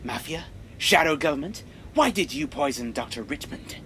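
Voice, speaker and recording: A man playing a self-important amateur sleuth, dramatic and excitable in old-time radio mystery style. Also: a little high, moderate pace, a little hiss or hum. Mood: accusing.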